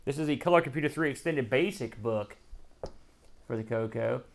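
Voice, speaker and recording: A man's voice: medium pitch, moderate pace, creaky. A man hosting an unboxing show, giving casual, off-the-cuff commentary in a folksy, colloquial way as he thinks aloud. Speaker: host of a retro computer unboxing show.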